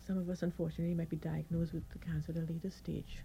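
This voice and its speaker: A middle-aged woman doctor with a croaky, hoarse voice, calmly explaining her experience as a radio guest. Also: deep voice, rapid-fire. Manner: calm, reassuring, explains step by step. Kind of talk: radio interview.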